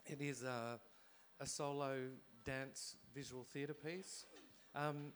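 A man from Australia, a theatre artist speaking on a panel in a reflective, measured way with pauses. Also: mid-range voice, fairly even intonation, a bit bright. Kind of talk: panel discussion.

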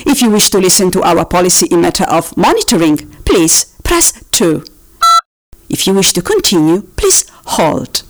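A woman's voice: lowish voice, big pitch swings. Woman playing a sing-song officious phone-menu voice. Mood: officious, polite. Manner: formal, polite, drawn-out sing-song emphasis on options. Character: bureaucratic automated phone-menu voice, politely officious and relentless. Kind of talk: recorded phone-menu announcement, scripted parody.

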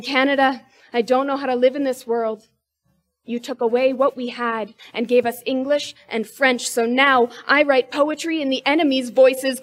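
Woman in her 20s, spoken word poet; high, rhythmic, emphatic. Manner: rhythmic, emphatic delivery with sharp rises in pitch and force. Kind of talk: spoken word poetry performance.

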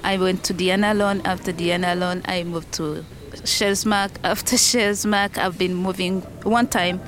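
A woman giving personal testimony in a halting, step-by-step way. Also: fairly low pitch, steady tone. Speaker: former asylum camp resident giving testimony. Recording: loud background noise, clicks.

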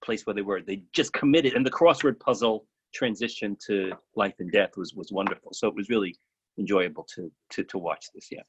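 A man giving his impressions in a casual discussion, halting and reflective. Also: fairly low pitch, slightly rough, lo-fi sound. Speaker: participant in a play reading.

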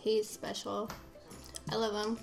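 A woman's voice: medium pitch, monotone, slow, gravelly, faint music behind, low-quality recording. A woman in her late twenties chatting with friends, blunt and swearing, laughing often. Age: 20s. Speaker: guest friend. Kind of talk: casual chat among friends.

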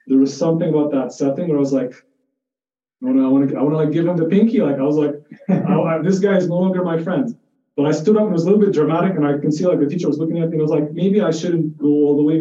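A man's voice: fairly high pitch, fast talker, mellow. A man from Jordan giving an informal, conversational lecture, explaining ideas through personal anecdotes and often restarting his phrases. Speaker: lecturer in psychiatry. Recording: muffled, echoey room, distant mic.

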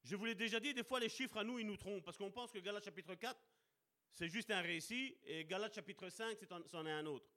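A man preaching a sermon with passion, slowing down to stress key words and calling out to his brothers and sisters. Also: sits high, sharp tone. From Italian heritage. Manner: impassioned, addresses congregation as brother and sister.

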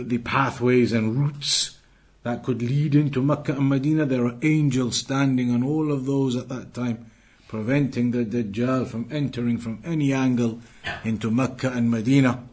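A man's voice: medium pitch, fairly even intonation, moderate pace, darkish tone. A man teaching a religious lesson to a live class, earnest and repeating key phrases, reciting Arabic fluently and quizzing his students. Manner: quizzes the class and waits for answers. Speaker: Islamic teacher.

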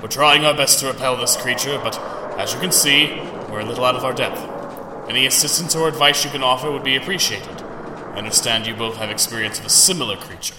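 A man's voice: medium pitch, brisk, lightly acted. Man as distant, echoey army general. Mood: formal, concerned. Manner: formal, commanding. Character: courteous, worried male army general. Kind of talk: audio drama acting. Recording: echoey, noisy, far from the mic.

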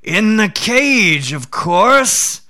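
A man's voice: sits high, sing-song, drawn-out words, pushed and bright, voice pushed up, exaggerated voice. A man voicing a hungry, deceitful male tiger who pleads and then threatens. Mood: pleading.

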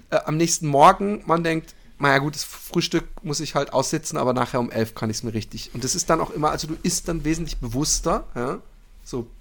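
A man's voice: on the high side, fairly bright tone. A man hosting a casual podcast, chatting in a joking, colloquial way with friends. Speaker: podcast host. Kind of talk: casual bantering podcast chat.

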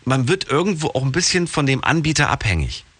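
A man's voice: mid-range voice, fast talker, husky, dry recording. A man hosting a radio call-in show, talking casually and animatedly, often restarting his sentences. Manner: animated, exasperated, full of restarts. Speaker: radio talk show host. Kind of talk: casual radio phone-in talk.

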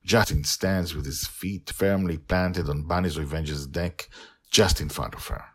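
A man's voice: low voice, fairly even intonation, unhurried, slightly rough. A man hosting a story podcast, narrating in a dramatic, emphatic way. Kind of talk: expressive scripted story narration and podcast talk.

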